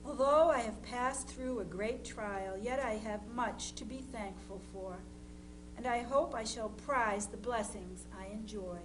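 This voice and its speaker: A woman playing a grieving, devout nineteenth-century New England mother, reading her letter aloud in a measured, solemn, earnest way. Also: a little high, close to an everyday voice. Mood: sorrowful, resigned. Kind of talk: dramatized reading of a historical letter. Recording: noisy amateur live recording with hum.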